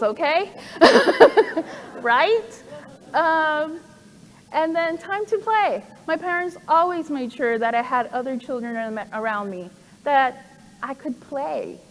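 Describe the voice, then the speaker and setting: A woman in her forties giving a personal presentation to an audience. She speaks conversationally, with frequent "um" fillers and asides. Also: sits high. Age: 40s. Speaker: program director giving a talk. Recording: long hall reverb.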